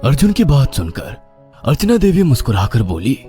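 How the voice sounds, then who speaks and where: A man's voice: mid-range voice, very animated, moderate pace, dark tone, faint music behind. A man narrating a scripted horror story in a dramatic style.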